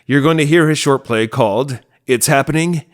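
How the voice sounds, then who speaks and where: A man's voice: a little high, normal speed. An American man chatting casually as he hosts and introduces a podcast. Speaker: podcast host and producer.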